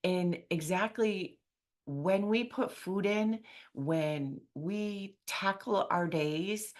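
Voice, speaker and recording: A woman hosting a podcast, talking in an excited, chatty way with many fillers. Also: fairly low pitch. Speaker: podcast host. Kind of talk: enthusiastic podcast intro and interview.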